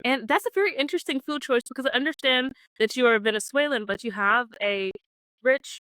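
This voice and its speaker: A woman's voice: high voice, brisk, fairly bright tone, no echo, low-quality recording. Fluent woman podcast host, dry sound. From US mainland, Puerto Rican heritage. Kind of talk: interview questions.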